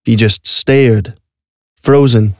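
A man's voice: medium pitch, fairly even intonation, very slow, dry recording, clean studio sound. A man narrating a scripted audio drama as a storyteller. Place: recording studio. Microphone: close studio microphone.

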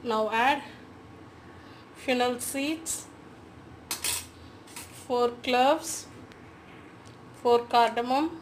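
Slow, high-voiced woman cooking. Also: slight background noise. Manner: slow, step-by-step instructions. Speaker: cooking channel host. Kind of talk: recipe voice-over.

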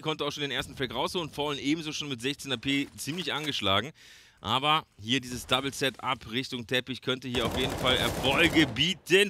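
A man calls a shooter match on his own, talking to his viewers like friends. His voice is very bright and forward. Faint game gunfire and clicks sit under him. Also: on the high side, slight background noise. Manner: chatty, addresses viewers as friends, jokes and greets chat. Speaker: esports caster. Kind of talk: solo play-by-play commentary.

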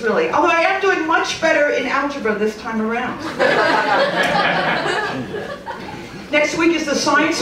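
A woman comic in her forties works a club stage with a handheld mic, her high voice swooping up and down as she delivers wry, deadpan punchlines. The sound is roomy and picked up from well back in the club, with audience laughter and room noise around her. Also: far from the mic. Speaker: stand-up comedian. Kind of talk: stand-up comedy set.

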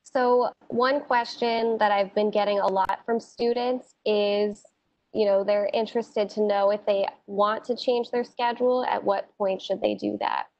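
A woman's voice: medium pitch, steady tone, unhurried, darkish tone. Woman moderating a virtual town hall. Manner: reads out questions, then explains steadily. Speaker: dean and moderator. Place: home office. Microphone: video call on a laptop. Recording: dull, muffled video call.

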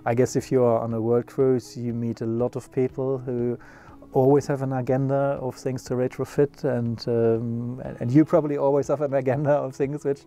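A man's voice: medium pitch, little pitch movement, moderate pace, mellow, faint music behind. A middle-aged man with a German accent, talking casually about sailboats with many fillers and restarts. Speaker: yacht builder CEO. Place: outdoors on a sailboat cockpit.

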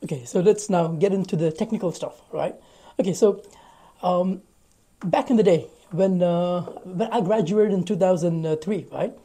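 A man hosting a casual interview, asking friendly questions in a halting way with many fillers, filmed outdoors on a rooftop terrace on a phone held at arm's length. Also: sits high, warm tone, breathy edge. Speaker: interview host.

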